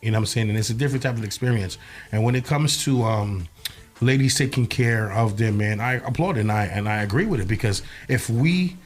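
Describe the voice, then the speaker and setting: Man, from Haiti, podcast co-host, animated, casual, studio mic. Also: fairly low pitch, brisk. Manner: animated, repeats "you know what I'm saying". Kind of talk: casual panel chat.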